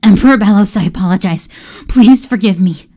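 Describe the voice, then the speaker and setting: A woman voicing an eager, fearful young male servant who cries out and begs in a high, strained voice. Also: brisk, mellow, close to an everyday voice. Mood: pleading. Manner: high-pitched pleading and crying out.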